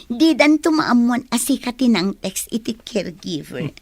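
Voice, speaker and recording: A woman's voice: a little high, very animated, moderate pace, darkish tone, no room sound. A woman playing a gleeful, scheming villain in a radio drama, with a gloating manner. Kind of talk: Ilocano radio drama acting.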